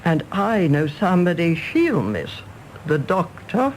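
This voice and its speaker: An actress playing a brisk, bossy, chatty elderly upper-class English mother in a period radio drama. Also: fairly low pitch, very animated, a little mellow, a little hiss or hum, rough recording. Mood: fond, meddling. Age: older. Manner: fussy, commanding, chattering. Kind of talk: period stage-play radio drama acting.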